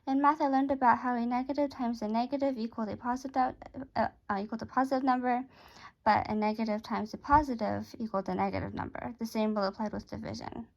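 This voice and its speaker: A woman's voice: on the high side, steady tone, fast talker, vocal fry, highs cut off. A woman reading a first-person story aloud, now and then stumbling and repeating a phrase.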